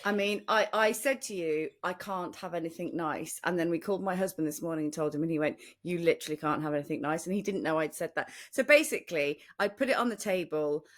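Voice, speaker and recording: A woman's voice: fairly low pitch, fast, few pauses. A British woman co-hosting a podcast, casually telling anecdotes in a jokey, self-deprecating way. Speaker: podcast co-host.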